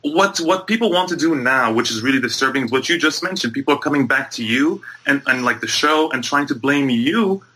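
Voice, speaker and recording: Male interview guest from Egypt, bright forceful voice, average pitch, earnest and explanatory. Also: animated, fairly quick, few pauses, breathy edge, low-quality recording. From Egypt and Bahrain. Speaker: guest, sports journalist and MMA commentator. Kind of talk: conversational interview answers.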